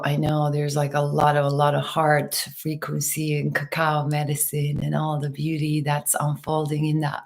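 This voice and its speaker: A woman from Turkey and Los Angeles hosting a casual livestream, talking to viewers in a gentle, unhurried, spiritual wellness manner. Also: low-pitched. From Turkey and Los Angeles, USA. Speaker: host, hypnotherapist and mentor.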